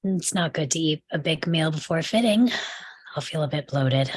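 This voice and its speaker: Woman acting a husky, falsely cheery snob. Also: low voice, animated, normal speed, dry recording. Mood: snobbish. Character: polished, falsely cheery snobbish rich woman. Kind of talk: screenplay table read acting.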